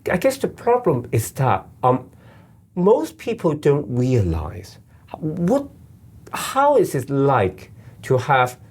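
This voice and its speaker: A male interviewer speaks with a lively pitch that rises and falls widely. He listens attentively and keeps answering with short, quick affirmations. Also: breathy edge. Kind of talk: conversational interview.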